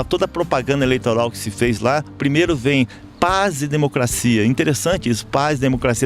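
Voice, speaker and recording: A Brazilian man with a Brazilian Portuguese accent, an interview guest speaking reflectively, with restarts and fillers. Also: medium pitch. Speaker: election observer guest. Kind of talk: conversational interview.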